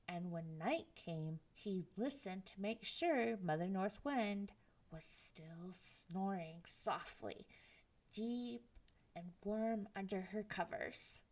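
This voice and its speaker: Slow-paced woman reading a children's story. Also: mid-range voice. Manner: gentle, expressive storytelling. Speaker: library storyteller.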